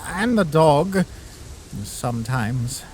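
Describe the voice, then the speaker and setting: A man playing an earnest, dramatic, downhearted young skeleton in an animated comic dub, speaking in formal, theatrical phrases. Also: fairly high pitch, very slow, noisy background.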